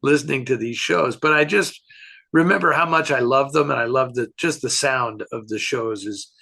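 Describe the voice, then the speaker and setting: A man's voice: mid-range voice, normal speed, vocal fry, pushed and bright. An older man with an American accent, chatting casually and telling stories as a co-host. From San Francisco area, United States. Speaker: co-host answering fan questions. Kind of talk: casual chat, reminiscing.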